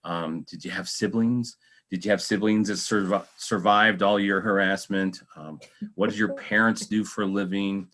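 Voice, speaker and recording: A man's voice: low voice, fairly even intonation, moderate pace, a bit bright. An American man hosting an interview in a casual, joking, rambling way over a video call. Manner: rambling, jokey. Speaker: talk show host, interviewer.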